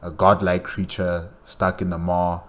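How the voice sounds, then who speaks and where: A man giving casual, halting reaction commentary, rambling and repeating phrases as he goes. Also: sits low, completely flat delivery. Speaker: reaction video host.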